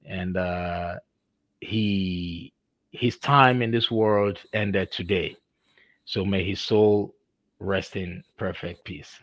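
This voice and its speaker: A man speaks with a Nigerian accent, hosting a conversational interview show. His voice sits a little low, and he talks effusively, pausing often on fillers as he goes. The sound is dry and close. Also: steady tone, fairly bright tone, dry recording. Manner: effusive, halting with frequent fillers. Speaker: podcast host.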